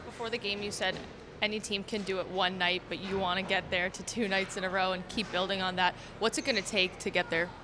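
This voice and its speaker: A woman's voice: mid-range voice, steady tone, a little fast. A woman working as a sports reporter, putting questions at a post-game press conference. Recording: noisy press room ambience.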